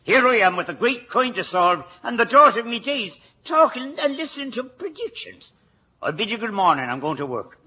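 An actor playing a gruff, stubborn older Irish police sergeant who blusters and sputters in vintage radio drama style. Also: male voice, extremely high pitch, sing-song, brisk. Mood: grumpy. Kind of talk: 1940s-50s radio acting. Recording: dull old radio recording.

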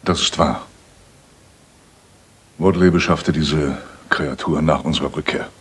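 An actor playing a remorseful, lovelorn middle-aged father in a radio play, speaking haltingly and earnestly. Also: very deep voice, steady tone, husky, exaggerated voice. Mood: subdued.

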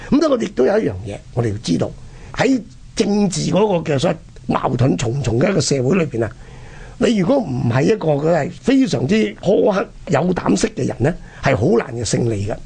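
A man with a husky, gravelly voice and a Hong Kong Cantonese accent hosts, telling history in colloquial, emphatic style with rhetorical questions. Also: on the high side, sing-song, brisk, dark tone. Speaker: radio programme host. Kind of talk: casual spoken history storytelling.

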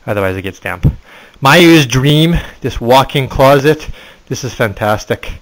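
A man's voice: mid-range voice, expressive, a little slow, fairly bright tone, low-quality recording. A middle-aged man chatting casually as he walks through his home, recorded on a handheld webcam indoors. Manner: chatty, rambling, points things out. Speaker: vlogger giving a house tour. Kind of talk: casual handheld house tour narration. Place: indoors in a house, moving between rooms.

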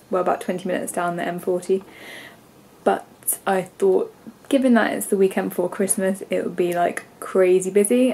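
A woman's voice: mid-range voice, a little mellow, a little hiss or hum. Stuffy-nosed young English woman vlogging. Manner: rambling and chatty, many ums and likes. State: congested, stuffy nose. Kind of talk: casual chatty vlog. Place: bedroom at home. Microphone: camera held at arm's length.

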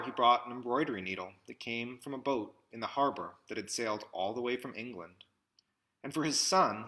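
A man in his thirties reads a storybook aloud in measured short phrases with pauses, recorded indoors at arm's length from the mic. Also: medium pitch, sharp tone, rough recording. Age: 30s. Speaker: picture book reader. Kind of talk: read-aloud storybook narration. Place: small indoor room with classroom wall displays.

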